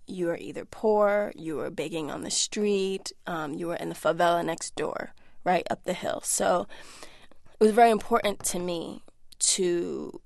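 A woman's voice: on the low side, no room sound, studio quality. An actress in her thirties from the United States, talking candidly and reflectively in a remote interview and acting out voices when she quotes people. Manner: animated, acts out quoted voices. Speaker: actress and guest. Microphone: studio remote link.

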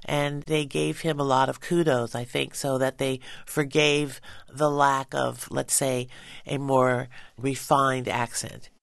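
A middle-aged woman singer, a guest in a conversational interview, animated and quick to laugh. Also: a bass voice, gravelly, no echo, clean studio sound. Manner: animated, laughs easily, talks with passion.

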